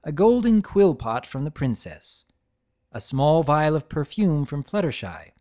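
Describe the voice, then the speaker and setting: A story narrator reads from a script in a dramatic style. The delivery is lively, with pitch that moves freely up and down.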